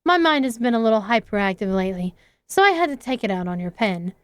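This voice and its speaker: A woman voicing a shy, intense teenage schoolgirl, soft and hesitant, reading game dialogue aloud. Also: fairly high pitch, animated, fast talker, clear, resonant tone, no echo, voice pushed down, close to an everyday voice.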